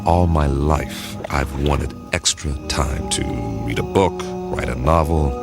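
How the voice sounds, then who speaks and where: An American man playing a measured, thoughtful spaceship captain with quiet authority in a radio drama. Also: a bass voice, steady tone, loud music behind the voice. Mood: musing. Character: steady, thoughtful spaceship captain leading his crew.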